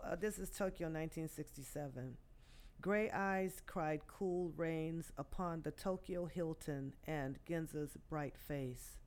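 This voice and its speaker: A woman in her sixties, a guest poet, telling her life story in a podcast interview with many pauses and restarts. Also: low-pitched, darkish tone. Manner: halting, many fillers and restarts.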